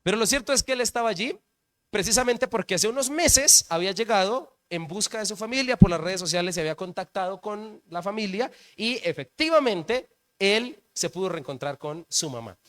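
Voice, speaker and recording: A man's voice: high-pitched, animated, fairly quick, a bit bright. A man, a pastor preaching at a church service, telling a personal story in a conversational way and often asking the congregation questions.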